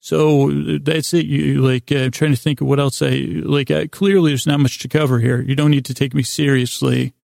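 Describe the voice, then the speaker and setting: Creaky-voiced, mellow man rambling gently. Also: medium pitch, flat delivery, no echo, clean studio sound. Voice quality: creaky, gravelly. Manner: meandering, halting, self-interrupting, goofy. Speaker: podcast host. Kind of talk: rambling improvised bedtime podcast monologue.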